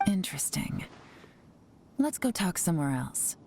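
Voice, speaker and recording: A woman's voice: fairly low pitch, big pitch swings, unhurried, darkish tone, whispered. A woman playing a shrewd, self-assured investigator in a video game, composed and knowing. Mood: probing. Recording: game music behind.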